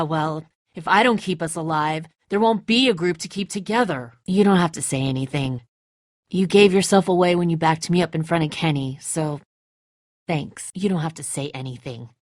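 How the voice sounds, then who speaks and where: A woman's voice: fairly low pitch, dry recording, clean studio sound, natural voice. A woman voicing a tense, suspicious and defensive leader of zombie apocalypse survivors in a video game, speaking bluntly with biting sarcasm. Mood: guarded, firm.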